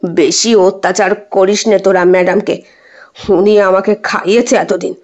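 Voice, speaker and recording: A woman voicing a meek, remorseful poor man pleading in a hushed whisper in an audio story. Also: mid-range voice, steady tone, normal speed, lo-fi sound, pitched below the performer's own voice, close to an everyday voice.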